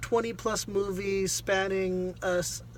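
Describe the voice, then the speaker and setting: A co-host speaks casually in a middle-to-high register, with faint noise in the background. The delivery is questioning and conversational, pausing while reasoning through each point. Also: steady tone. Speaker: vlog co-host reviewing a film.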